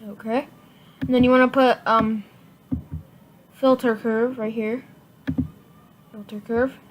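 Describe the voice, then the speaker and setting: High-voiced woman giving a halting, noisy tutorial. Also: level tone. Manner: informal, halting step-by-step instructions. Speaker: tutorial presenter. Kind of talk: casual screen-recorded software tutorial. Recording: noisy home computer mic, clicks.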